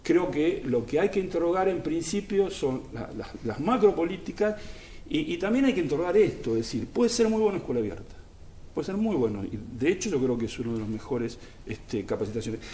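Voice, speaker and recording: Guy on a panel, emphatic, repeats his points, warm voice, bit of room echo. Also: on the high side, big pitch swings, moderate pace, slight background noise. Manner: earnest, emphatic, builds arguments with repetition. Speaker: education specialist guest. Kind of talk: panel discussion.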